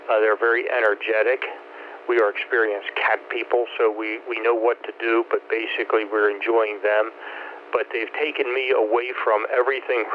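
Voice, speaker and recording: A man in his seventies with a medium-pitched voice talks casually over two-way radio. He is courteous and rambles appreciatively as he hosts the exchange. The signal is narrow and phone-like, with light noise. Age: 70s. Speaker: amateur radio net host. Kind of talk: casual ham radio chat. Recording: noisy, narrow-band ham radio transmission.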